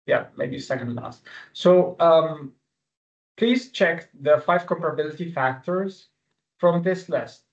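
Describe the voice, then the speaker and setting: A man whose native language is Arabic, teaching an online exam-review webinar with live quiz questions in an informal, halting way. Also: fairly high pitch. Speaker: exam-prep instructor. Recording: dull, lo-fi webinar audio.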